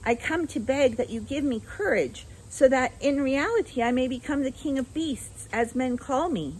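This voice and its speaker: A woman voicing a cowardly male lion who pleads anxiously in a higher voice. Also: normal speed, a little mellow, slightly rough, rough recording. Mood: pleading.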